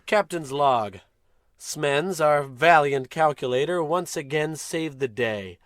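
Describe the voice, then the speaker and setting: A man's voice: on the high side, slow. A man playing a pompous, blustering space captain in an old-time radio comedy, declaiming with great self-importance. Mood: solemn, grandiose.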